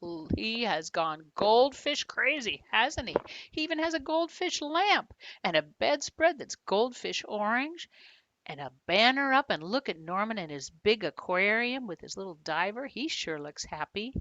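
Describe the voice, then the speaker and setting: An older woman reading a picture book aloud to children, talking to them directly with questions and expressive storytelling. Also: on the high side, measured pace, dry recording, highs cut off. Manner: addresses young listeners directly with questions.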